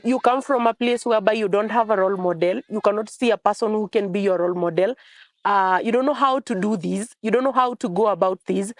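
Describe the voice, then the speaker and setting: A woman's voice: mid-range voice, no echo, clean studio sound. A young woman from Kenya, a journalism fellow, speaking earnestly in a testimonial interview with repetitive phrasing. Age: young adult.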